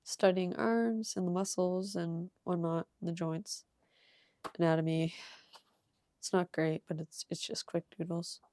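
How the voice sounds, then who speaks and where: A young woman with a cold and a slightly hoarse voice, rambling casually with ums and soft laughs as she shows her art. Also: on the low side, flat delivery, a little slow, dry recording. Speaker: art channel creator. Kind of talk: casual sketchbook tour voice-over.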